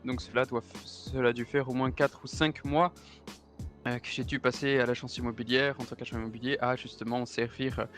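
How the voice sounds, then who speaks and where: A courteous German-accented real estate agent in his thirties, fond of fine cars and nightclubs, as a man plays him. He talks formally, pausing often and slipping in German exclamations. His pitch sits in an ordinary middle range, with soft music faintly underneath. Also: little pitch movement, natural voice. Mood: chatty, courteous. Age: 30s. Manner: formal, hesitant, drops German interjections. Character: 33-year-old German man, suave real estate agent and party lover.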